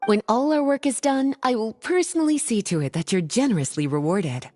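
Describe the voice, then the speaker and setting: A woman playing a playful, teasing scholarly librarian witch in a video game, polished and unhurried. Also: fairly high pitch, animated, a little mellow, slight background noise, close to an everyday voice. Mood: flattering, persuasive. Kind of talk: video game voice acting, scripted dialogue.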